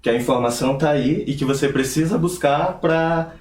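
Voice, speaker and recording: A man's voice: a little high, darkish tone. Earnest young man, echoey room. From Brazil, Pará. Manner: earnest, measured, with hesitations. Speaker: interview guest. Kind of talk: conversational interview.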